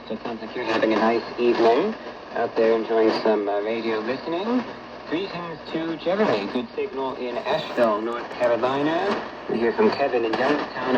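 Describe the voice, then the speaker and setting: Male radio host, mid-pitched, presenting to listeners; muffled shortwave reception buried in heavy noise. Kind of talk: radio broadcast reading listener check-ins and announcements.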